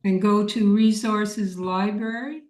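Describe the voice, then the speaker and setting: A woman with a middle-pitched voice leads an informal online workshop. She takes questions at a slow pace, encouraging and repeating words for emphasis. The room around her is echoey. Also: fairly even intonation, darkish tone. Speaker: workshop leader. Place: indoor room on a video call.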